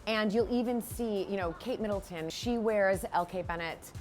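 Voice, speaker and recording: A woman's voice: mid-range voice, moderate pace, faint music behind. A woman from Illinois with an American accent, an interview guest telling stories animatedly. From Illinois, USA.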